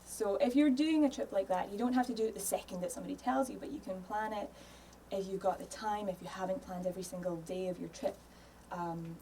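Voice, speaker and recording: A woman with a Scottish accent talks as a podcast guest in a studio, easygoing and quick to laugh, with plenty of "um" and "yeah". Her voice has a middle pitch. She sits a little back from the microphone. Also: slight background noise. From Scotland. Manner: relaxed, laughs easily, hedges with "um" and "yeah". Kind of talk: casual interview chat. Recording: voice a little distant from mic.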